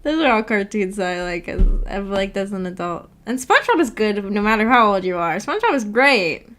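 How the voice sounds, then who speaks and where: A woman's voice: medium pitch, sing-song, fairly quick, fairly bright tone. A young adult woman chatting casually on a livestream, rambling informally and reading viewer comments aloud as she goes. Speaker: VTuber livestreamer.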